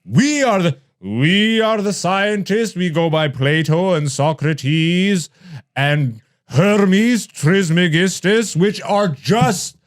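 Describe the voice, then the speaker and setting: A man with a fairly high, very animated voice talks like a comedy podcast host. He is brash and casual, swears freely and often laughs through his words as he rants. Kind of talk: casual comedic rant and chat.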